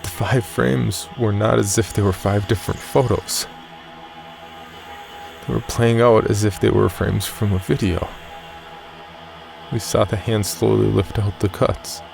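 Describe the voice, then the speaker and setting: Very deep, gravelly man narrating horror. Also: fairly even intonation, a little slow, pauses often, over music. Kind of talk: scripted first-person horror story narration.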